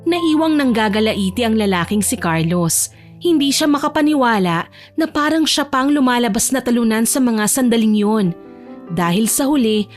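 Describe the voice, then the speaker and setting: Female narrator, audio drama. High-pitched scripted storytelling, light music bed behind.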